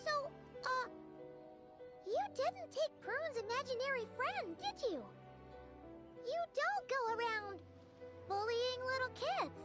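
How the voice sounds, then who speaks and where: A squeaky, excitable fairy companion. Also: female voice, takes it slowly, long pauses, over loud music, heavily acted. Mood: curious. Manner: excitable, talks about herself in the third person. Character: tiny floating female fairy companion, chirpy and blunt. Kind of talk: video game voice acting. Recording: game soundtrack playing behind.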